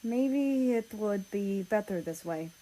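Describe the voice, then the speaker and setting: A gentle, lonely man full of self-doubt in a read-aloud story , voiced by a woman. The delivery is subdued and hesitant, at a slow pace. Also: medium pitch, animated. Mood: doubtful.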